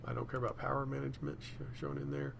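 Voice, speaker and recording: Guy doing a laid back computer walkthrough, really creaky voice, figuring it out as he talks, bit of background noise. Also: mid-range voice, flat delivery, fast. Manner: unscripted, thinking aloud. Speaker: software tutorial presenter. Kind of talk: casual screencast demonstration.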